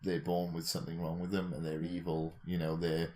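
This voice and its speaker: A man's voice: sits low, completely flat delivery, fairly quick, darkish tone, slight background noise. A man in casual podcast discussion, reasoning aloud in a tentative, self-questioning way as a co-host.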